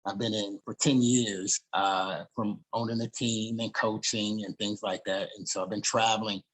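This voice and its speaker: A man with a low, husky voice hosts a relaxed interview, rambling easily and padding his thoughts with casual fillers. He sounds unhurried and conversational. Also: rough recording. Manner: easygoing, uses "you know" and "I guess you could say". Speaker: podcast host.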